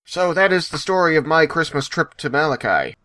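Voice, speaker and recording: A man's voice: fairly high pitch, big pitch swings, brisk, pushed and bright, no echo. A man co-hosting a podcast in casual chat, with a jokey, sarcastic way of talking. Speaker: podcast co-host.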